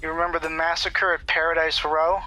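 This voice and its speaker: An actor playing a reluctant, uneasy morgue worker in a horror audio drama, hesitant and wry. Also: male voice, a little high, level tone, brisk, pushed and bright, soft background music, poor audio.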